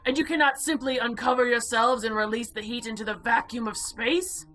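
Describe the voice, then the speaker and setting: A haughty, predatory monster noblewoman from a visual novel, acted out by a woman. Her voice is fairly high and bright, with formal, imperious phrasing that treats humans as lesser things. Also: fairly even intonation, brisk, soft background music. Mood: curious. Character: haughty, predatory female eldritch monster diner.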